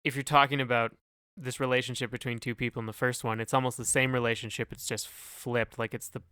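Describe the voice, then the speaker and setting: A man's voice: mid-range voice, little pitch movement, fast talker, bright, forceful tone, clean tone, no echo, studio quality. A man co-hosting a podcast, chatting casually about music and explaining things in a loose, hesitant way. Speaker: podcast co-host. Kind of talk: casual music discussion chat.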